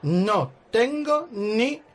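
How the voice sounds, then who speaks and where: A man's voice: high voice, big pitch swings, drawn-out words, full character voice. A man playing a clueless, self-important boss in a parody radio soap, pompous and dramatic. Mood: grave, pompous.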